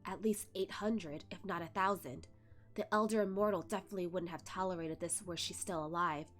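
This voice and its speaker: A woman voicing a calm, cold male master who speaks low and measured. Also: soft background music, natural voice.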